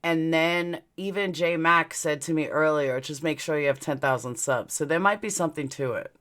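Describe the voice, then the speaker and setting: Casting: middle-aged female livestream host, New York accent, bright forceful voice, blunt, sweary, rambling chat, slight aligner-affected articulation. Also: deep voice, normal speed. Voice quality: speech slightly affected by clear dental aligners. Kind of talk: casual livestream chat with viewers.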